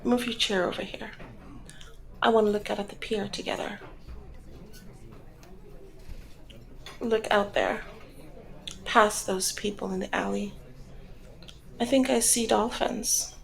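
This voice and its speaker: A woman's voice: medium pitch, steady tone, measured pace, vocal fry, long pauses. A woman playing a flirty, commanding female mob boss in an ASMR roleplay, talking in a teasing, coy and controlled way. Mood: gushing, lovey-dovey. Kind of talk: ASMR roleplay, scripted one-sided dialogue.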